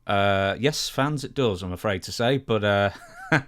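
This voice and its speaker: A man's voice: fairly low pitch, a little slow, a bit bright. A man co-hosting a podcast, chatting casually and reading out plugs for the show. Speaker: podcast co-host.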